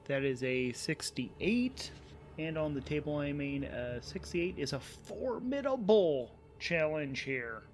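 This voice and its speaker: A man with a somewhat high-pitched voice narrates a solo tabletop game as its host. His delivery is casual and improvised, thinking aloud and doubling back on words as he works things out. There is faint noise in the background of the recording. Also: animated, slow.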